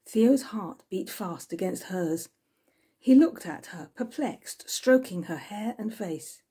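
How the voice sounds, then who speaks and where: A woman in her sixties reading a novel aloud in an expressive storytelling way, indoors at home. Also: medium pitch, slow, breathy edge. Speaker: author reading from own novel. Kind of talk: scripted narration, audiobook-style reading. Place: small room at home with bookshelves, indoors.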